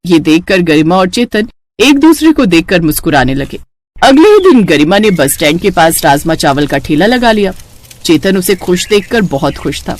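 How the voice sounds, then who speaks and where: A woman narrating a story in an expressive storytelling style. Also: on the low side, moderate pace.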